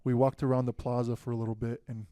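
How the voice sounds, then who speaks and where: A young man telling stories in a relaxed podcast chat, with many fillers as a guest. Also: mid-range voice, fast, clear, resonant tone, no room sound. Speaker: guest, future pastor. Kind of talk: casual podcast conversation.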